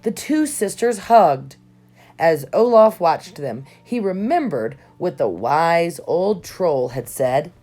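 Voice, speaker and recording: Female children's storybook narrator, slightly low voice, very animated intonation, dramatic emphasis. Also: slow. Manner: dramatic emphasis on key words. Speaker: storybook reader. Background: soft music.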